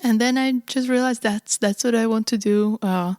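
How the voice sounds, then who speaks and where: A woman's voice: on the high side, normal speed, darkish tone, dry recording. Slovak woman guest, dry close sound. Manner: thoughtful, hesitant with many uh and restarts. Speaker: guest composer. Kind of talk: casual interview chat.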